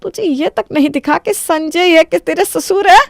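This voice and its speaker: A woman voicing a quick-tempered, berating Indian mother-in-law in a Hindi cartoon story. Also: very high voice, gravelly, no room sound, studio quality. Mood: angry scolding. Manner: harsh, exclamatory, berating.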